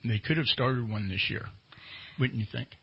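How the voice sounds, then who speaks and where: Male radio interviewer, husky and plain-spoken, mid-pitched, casual questioning, slightly dull lo-fi sound. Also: normal speed, dry recording.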